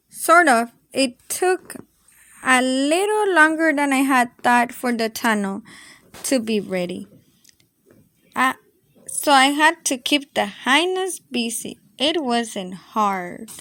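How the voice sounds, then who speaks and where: A woman narrates a children's storybook with expressive, dramatic delivery. Her voice is high and she takes her time over the words. The sound is dry, with hardly any room in it. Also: dry recording. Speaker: storyteller reading aloud.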